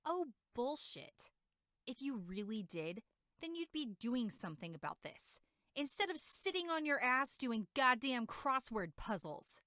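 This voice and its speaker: A woman acting as a sharp-tongued, sarcastic young camp counselor in an audio drama. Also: a little high, very animated, a little slow, no echo, studio quality, heavily acted. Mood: angry.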